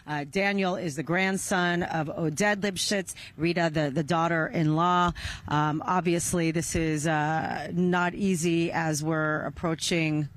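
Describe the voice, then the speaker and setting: A woman conducting a live news interview on location outdoors, with halting, hesitant phrasing. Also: low-pitched, steady tone. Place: outdoors near a rural kibbutz. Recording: noisy outdoor field recording.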